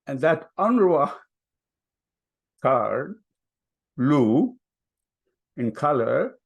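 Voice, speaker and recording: An older man from Palestine, telling his family story at a vigil in a soft, hesitant way with many ums. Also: medium pitch, big pitch swings, extremely slow, creaky, dull audio. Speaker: vigil speaker. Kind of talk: personal storytelling.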